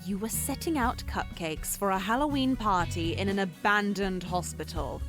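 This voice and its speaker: A woman playing an aloof, blunt young skeptic in a visual novel game, speaking in a dry deadpan. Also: mid-range voice, moderate pace, over music, lightly acted.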